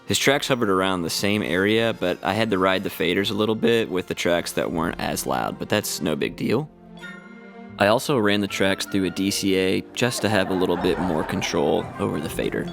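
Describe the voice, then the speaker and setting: A man with a very low voice narrates in a scripted explainer style, as a sound engineer would. There is noticeable fry in his tone, and soft music plays behind him. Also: brisk.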